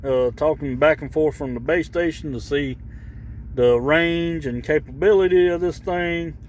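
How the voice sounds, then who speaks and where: A man with a somewhat high-pitched voice chats casually through a hands-on gear demo. He rambles along in a folksy way. He is recorded on a camera microphone around a vehicle outdoors, with clear background noise. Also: unhurried, a little mellow. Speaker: vlogger demonstrating radio gear.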